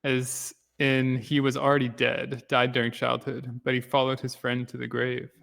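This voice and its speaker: A man chats casually as a podcast co-host. His voice sits in the middle range and often drops into vocal fry. A long reverb tail hangs on the recording. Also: mid-range voice, monotone, moderate pace, fairly bright tone. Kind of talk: casual discussion, reading out comments.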